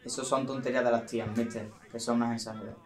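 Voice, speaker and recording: A man's voice: mid-range voice, level tone, quick pace, natural voice. A teenage male student with an Andalusian accent playing a brash, goading young footballer in an amateur radio play. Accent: Andalusian Spanish. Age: teens. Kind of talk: amateur radio drama acting.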